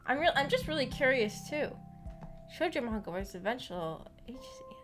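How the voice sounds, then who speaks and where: A giggly woman hosting a book club stream, chatting casually with viewers and musing out loud. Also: high-pitched, animated, moderate pace, music in the background. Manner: musing, thinking aloud.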